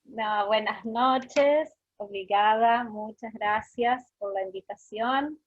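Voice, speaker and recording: Slow-speaking Argentine woman, higher voice, muffled audio. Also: no echo. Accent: Argentine Spanish. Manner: deliberately slowed, with pauses. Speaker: guest lecturer, academic researcher. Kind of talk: informal academic lecture. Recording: dull, lo-fi online call audio.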